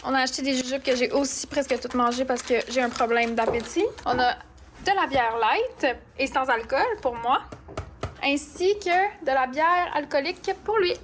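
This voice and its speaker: A high-pitched young woman with a Québécois accent chats to her camera in a playful travel vlog. Faint noise hums under her voice. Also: normal speed. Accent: Québécois French. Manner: chatty and playful, lots of fillers. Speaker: vlogger. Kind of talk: casual vlog narration. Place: hotel room and shops on a trip.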